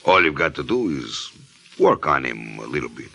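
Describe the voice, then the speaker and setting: A very deep-voiced gangster from a 1950s radio crime drama. His delivery swoops and stays sly and unhurried, with a bright edge and quiet menace. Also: big pitch swings, heavily acted. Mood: sly, menacing. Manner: sly, unhurried, softly threatening. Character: smooth, menacing male gangster client with money.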